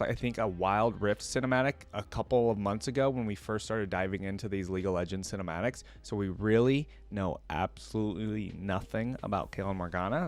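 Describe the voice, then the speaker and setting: A man hosting a reaction video, talking conversationally and thinking aloud as he comments and reads lore. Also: on the low side.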